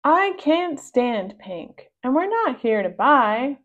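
A woman's voice: sits high, very animated, takes it slowly, a bit bright, dull audio, full character voice. A woman voicing a bold, feisty girl from a children's storybook, speaking with emphasis.

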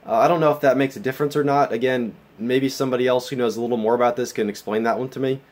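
A man's voice: mid-range voice, steady tone, very fast, a little mellow. A man with a bit of a cold, casually walking through his home computer build in a matter-of-fact way, filming with a phone. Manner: plain, matter-of-fact, with fillers like uh and um. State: getting a cold. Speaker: tech hobbyist vlogger. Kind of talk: casual show-and-tell walkthrough. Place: home room with a mining rig.

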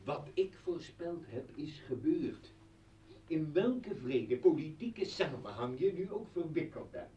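A man's voice: fairly high pitch, big pitch swings, slow pace, warm tone. An actor playing a disdainful liberal intellectual man in a radio play, defiant, accusing and indignant. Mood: bitter, accusing. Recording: echoey room, distant from mic.

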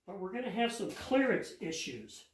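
Older male home mechanic, slightly high voice, plainspoken hands-on tutorial, roomy garage sound. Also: sing-song, normal speed, background noise. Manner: plainspoken, practical, repeats words while working. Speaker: home mechanic explaining an engine rebuild. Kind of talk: hands-on how-to explanation. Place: home garage workshop, indoors. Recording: echoey garage.

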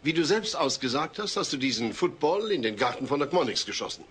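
A man's voice: a little high, big pitch swings, brisk, a bit bright, husky, heavily acted. An actor playing an exasperated family man as prosecutor in a German comedy audio drama, dry and insistent. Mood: measured. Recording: noisy old cassette audio drama.

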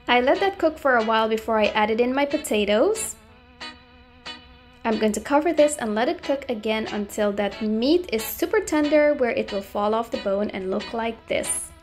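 A woman cooking host narrating a recipe voice-over in a friendly, polite way. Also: on the high side. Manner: friendly, polite, appreciative greetings to viewers. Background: background music.

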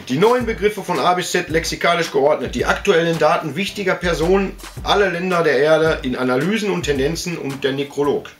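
Middle-aged man, somewhat higher voice, casual show-and-tell host, chatty and meandering, faint music behind. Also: normal speed. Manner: chatty, colloquial, with frequent tag questions. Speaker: collector and video host. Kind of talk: casual rambling show-and-tell, reading out bits of books. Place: indoor room full of collectibles.

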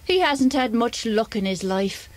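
High-voiced, chatty caravan mother. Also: expressive, a little slow, no echo. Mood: chatty, fond. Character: fond, chatty, protective mother living in a caravan. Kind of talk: children's audiobook dramatization.

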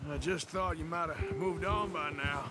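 A man's voice: a little high, normal speed, music in the background, natural voice. A man playing a loyal, plainspoken cowboy outlaw in a Western video game, with a folksy manner. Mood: tentative, friendly. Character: gruff, loyal cowboy outlaw, longtime gang member.

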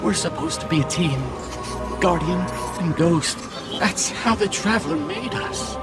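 An actor voicing a small, devoted robot companion in a video game, hurt and indignant, with an emotional, pleading delivery. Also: male voice, a little high, measured pace, over loud music, natural voice. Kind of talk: dramatic video game dialogue.

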